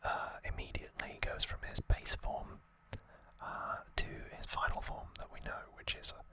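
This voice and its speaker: A man's voice: normal speed, sharp tone. A man whispering as an ASMR host, giving an informal, rambling trivia recap and correcting himself as he goes.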